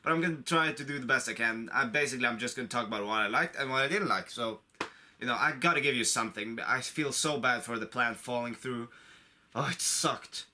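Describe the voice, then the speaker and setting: A man's voice: medium pitch, a little fast, sharp tone. A man getting over a cold gives a casual, unscripted movie review to camera, an enthusiastic fan who rambles and repeats himself. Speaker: online video creator reviewing a film.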